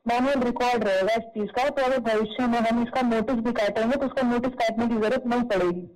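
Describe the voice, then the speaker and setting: A woman's voice: fairly high pitch, fairly even intonation, fast talker, a little mellow. A woman official explaining procedure step by step, repeating herself, on a played-back phone call recording. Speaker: government election official. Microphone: phone call recording replayed at press conference.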